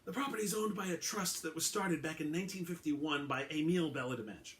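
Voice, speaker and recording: A man's voice: fairly high pitch, a little fast, fairly bright tone, lightly acted. A man voicing an incredulous, cynical and foul-mouthed male police detective in a story reading. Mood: informative.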